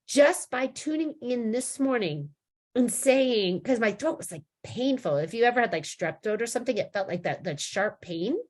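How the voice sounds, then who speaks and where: A slightly high-pitched woman with a hoarse, worn voice talks loosely about spiritual growth. She rambles, restarts her sentences and leans on fillers, then slows down to linger on the words she wants to stress. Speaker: spiritual teacher. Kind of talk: casual spiritual talk.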